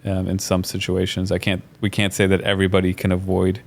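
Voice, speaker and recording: A man hosting a podcast interview, a dentist himself, talking in a measured, agreeable way with frequent ums. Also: low-pitched, monotone, vocal fry.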